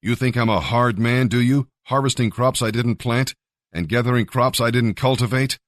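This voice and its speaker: A man's voice: medium pitch, moderate pace, no room sound, studio quality, close to an everyday voice. A man voicing a wealthy, authoritative master addressing his servants in a scripture story. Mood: stern, condemning.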